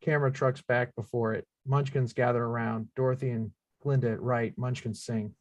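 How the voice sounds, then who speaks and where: Male narrator for script action lines, mid-pitched, plain and factual, very dry recording. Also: steady tone, normal speed, a little mellow, no echo. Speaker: stage-directions reader. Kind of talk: scripted narration of screenplay action at a live table read.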